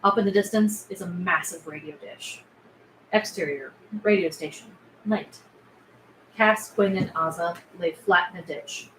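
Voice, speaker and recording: A woman's voice: lowish voice, a little slow, fairly bright tone. A woman reading stage directions aloud as scripted narration at a table read. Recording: echoey room, faint background noise.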